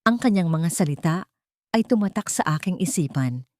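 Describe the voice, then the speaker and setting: A woman's voice: fairly low pitch, animated, moderate pace, a little mellow, no echo, studio quality. A woman reading a scripted, first-person dramatic story as its narrator.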